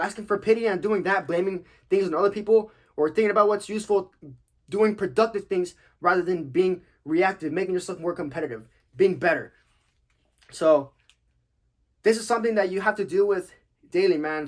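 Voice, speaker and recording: A young man from Texas hosting a podcast, answering questions in a laid-back, slangy, joking way with casual swearing. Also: on the high side. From United States, Texas. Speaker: podcast host, active-duty military.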